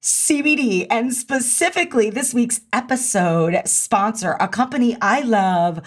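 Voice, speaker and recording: A woman hosting her own podcast in an energetic, slangy, emphatic monologue, her voice a little hoarse. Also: on the high side, unhurried. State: slightly hoarse. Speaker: podcast host.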